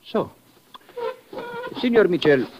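An actor playing a suave, watchful police lieutenant, formal and pointed, in an old radio drama. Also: male voice, sits high, expressive, slow, noisy background. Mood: cool, official. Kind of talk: 1950s radio drama acting. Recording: muffled old radio recording.

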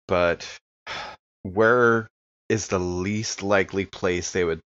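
A man improvising in character as a player in a role-playing game, earnest and deliberate, on a home-studio microphone. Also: deep voice, steady tone, fairly bright tone, smooth, no echo, dull audio.

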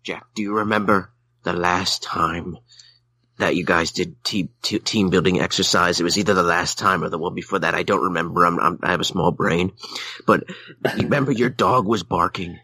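A man puts on a dim, anxious little podcast character with a low, gravelly voice. He stammers frantically, repeating words over and over in a supposedly Australian accent, with a forceful, raspy edge. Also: steady tone, normal speed, dry recording, full character voice. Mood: flustered, worried. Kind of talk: improvised comedy skit on a podcast.

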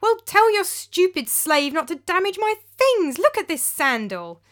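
A woman's voice: big pitch swings, measured pace, fairly bright tone, exaggerated voice. A woman voicing a petulant, spoiled young man who whines and snaps in anger, in an audiobook reading. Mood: angry. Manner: whining, shrill complaints.

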